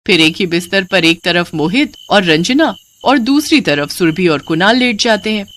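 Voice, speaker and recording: A woman's voice: medium pitch, animated, moderate pace, fairly bright tone. Woman narrating a scripted cartoon story.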